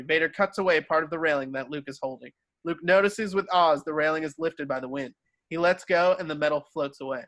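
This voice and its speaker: Male narrator, slightly high voice, dry close sound, reading scene directions from a script. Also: fairly bright tone, dry recording.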